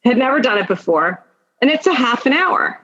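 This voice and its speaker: A woman's voice: on the high side, sing-song, a little fast, fairly bright tone. Dull-sounding recording, informal woman podcast guest. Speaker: podcast guest, attorney and executive. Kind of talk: conversational interview answers.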